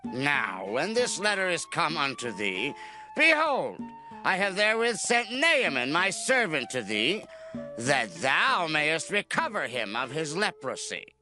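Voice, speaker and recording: A man's voice: a little high, wildly swooping pitch, a little slow, bright, forceful tone, full character voice, poor audio. A man playing an imperious king in a dramatized scripture reading, speaking in a grand, declamatory way. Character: imperious male king of Syria. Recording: faint music behind the voice.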